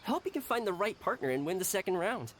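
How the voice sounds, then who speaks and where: A man's voice: sits high, fast, slight background noise. A man playing an easygoing, friendly young retainer in a video game cutscene, polite and upbeat. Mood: friendly, encouraging. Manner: friendly, relaxed, teasing. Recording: game music behind the voice.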